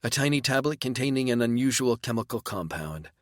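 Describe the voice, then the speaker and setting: A man voicing a gentle young woman who is dying, speaking softly and pleadingly. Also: mid-range voice, lively intonation, brisk, dry recording, studio quality, pitched above the performer's own voice, close to an everyday voice. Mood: wistful.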